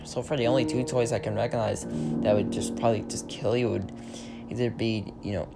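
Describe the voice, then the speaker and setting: A man's voice: on the low side, fairly even intonation, a little fast, creaky. A young man reading a creepypasta aloud in an amateur way, stumbling and correcting himself, then chatting casually about it. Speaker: creepypasta reader. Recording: music playing behind the voice.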